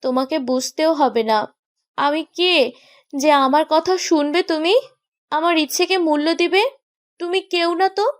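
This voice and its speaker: A woman's voice: high-pitched, measured pace, pauses often. A woman playing a petulant, stubborn young bride in a romantic audio story, with a sulky, reproachful way of talking. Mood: petulant, insistent.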